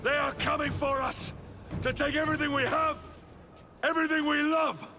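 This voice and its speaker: A man's voice: very high-pitched, little pitch movement, normal speed, noisy background. An actor playing a brave Roman officer who rallies his men and barks urgent battlefield orders. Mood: rallying. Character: brave male Roman officer rallying troops. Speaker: soldier commander character. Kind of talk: video game cutscene acting. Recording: game audio over music.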